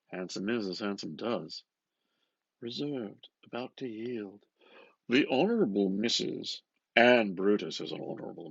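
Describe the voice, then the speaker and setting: A man reading a novel aloud with expressive, dramatic narration, musing and often dropping into a hushed murmur. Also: lowish voice, gravelly, husky, no echo, muffled recording. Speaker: reader. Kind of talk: expressive literary audiobook narration.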